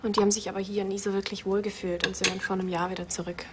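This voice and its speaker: An actress playing a timid young woman from Kazakhstan, a witness in a crime drama, who speaks softly and hesitantly, often near a whisper. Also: medium pitch, flat delivery, racing, background noise, lightly acted.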